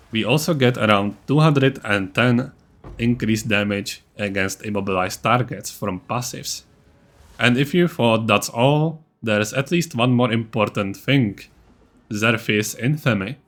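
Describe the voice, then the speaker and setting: A man's voice: medium pitch, fairly bright tone. A man giving scripted, explanatory narration for a video game build guide in a methodical way.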